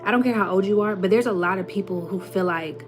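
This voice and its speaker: A young woman giving a casual, emphatic motivational talk to her viewers, full of slang and little acted-out voices, with soft music playing underneath. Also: mid-range voice, quick pace. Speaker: spiritual content creator.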